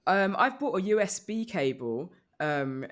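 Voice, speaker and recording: A woman comedian chatting casually as a podcast guest, riffing in a rambling, animated comic way. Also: on the low side, measured pace. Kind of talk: casual comic panel chat.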